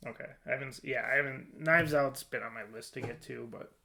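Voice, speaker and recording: A man's voice: mid-range voice, brisk, creaky, pushed and bright. A man hosting a casual podcast discussion, conversational and a little hesitant, often trailing off. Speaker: podcast host.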